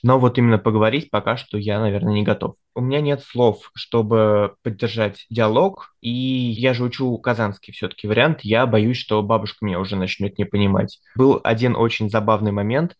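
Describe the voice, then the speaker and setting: A man's voice: on the low side, steady tone, a little fast, few pauses, muffled recording. A young man talking in a casual podcast interview, reflective and often searching for words. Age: young adult. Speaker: podcast guest, university student.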